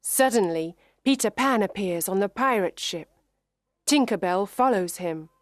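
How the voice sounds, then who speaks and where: A woman narrating a scripted children's story for language learners, deliberate and carefully enunciated. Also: medium pitch, animated, no echo, studio quality.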